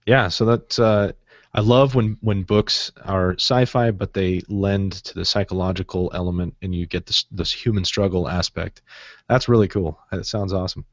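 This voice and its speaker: A man co-hosting a podcast over an online call, asking interview questions in a chatty, halting way. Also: deep voice, steady tone, no room sound, highs cut off. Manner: chatty and halting, with restarts and laughs. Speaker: podcast co-host. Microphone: video call.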